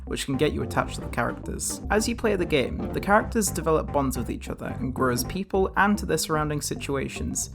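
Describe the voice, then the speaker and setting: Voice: mid-range voice, vocal fry. A narrator reading a scripted video game review.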